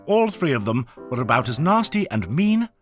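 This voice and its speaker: A man's voice: mid-range voice, sing-song, normal speed. A man reading scripted narration for a dramatized children's story. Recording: piano music under the voice. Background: piano music.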